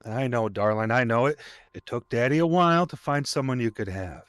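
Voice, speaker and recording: An actor playing a protective, guilt-ridden deputy father in an audio play, speaking tenderly and haltingly with a stern edge. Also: mid-range voice, no room sound, clean studio sound, lightly acted. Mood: tender, reassuring. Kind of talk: dramatic audio theatre acting.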